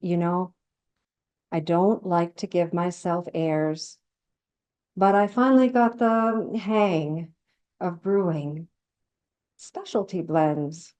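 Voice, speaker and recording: A woman's voice: fairly low pitch, slow pace, long pauses, darkish tone. A woman reading a dramatic monologue as a chatty, sly older gardener serving herbal tea with coy, falsely sweet politeness. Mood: gossipy, insinuating.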